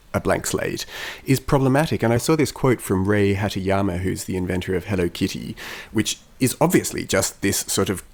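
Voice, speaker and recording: Low-voiced man chatting on a podcast. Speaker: podcast co-host. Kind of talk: casual podcast discussion.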